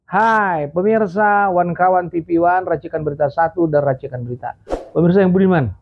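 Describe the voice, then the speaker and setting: A man's voice: high-pitched, normal speed, creaky, slight background noise. A man hosting a casual political commentary show, rambling with many fillers and a slight cough. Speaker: host. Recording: tinny phone-like audio.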